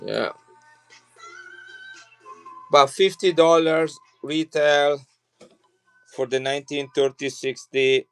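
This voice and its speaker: A man's voice: a little high, fairly even intonation, slow pace, pauses often, clear, resonant tone, soft background music. Chatty man selling coins. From Italy. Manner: chatty and rambling, with frequent restarts. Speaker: coin seller on a live stream. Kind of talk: casual live sales chat.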